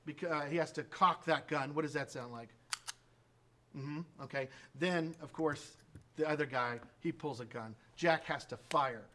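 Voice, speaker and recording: A man's voice: a little high, moderate pace, pushed and bright, slight background noise. A man from a radio drama troupe, casually prompting sound effects and rambling with many fillers and restarts. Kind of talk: casual demonstration talk.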